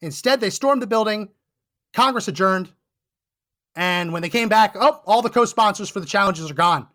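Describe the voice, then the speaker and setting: Male podcast host, hoarse and fading voice, high-pitched, emphatic indignant monologue. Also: moderate pace, fairly bright tone. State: hoarse, losing his voice. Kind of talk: political commentary monologue. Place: Texas.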